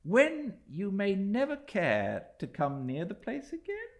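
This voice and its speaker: A man voicing an earnest, admiring young British gentleman in a classic audiobook, speaking sincerely and eagerly. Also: high-pitched, huge pitch swings, slow pace, pitched above the performer's own voice, exaggerated voice. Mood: earnest, amazed. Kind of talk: dramatised classic audiobook dialogue.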